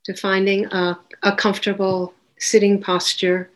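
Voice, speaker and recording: A woman leading a guided meditation as a teacher, giving gentle, unhurried instructions with long pauses between phrases. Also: mid-range voice, completely flat delivery, poor audio.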